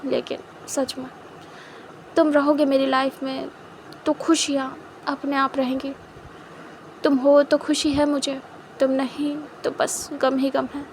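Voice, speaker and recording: A woman voicing a calm, tender young man speaking softly to his partner. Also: measured pace, long pauses, a little hiss or hum. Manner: soft, lowered voice.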